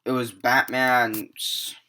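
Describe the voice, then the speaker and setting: A man's voice: mid-range voice, flat delivery, drawn-out words, bright, forceful tone. A seventeen-year-old young man giving a rambling, casual movie review, full of fillers, restarts and the odd swear word. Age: teens.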